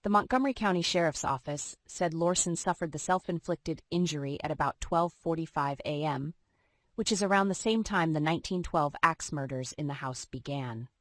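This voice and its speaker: Low-pitched female true-crime narrator, dry recording. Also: normal speed, no echo.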